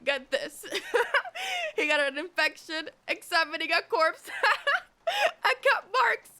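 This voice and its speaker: A woman playing an excitable, defensive, tipsy assistant dissector in a radio play. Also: very high voice, slow, exaggerated voice. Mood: giggling glee.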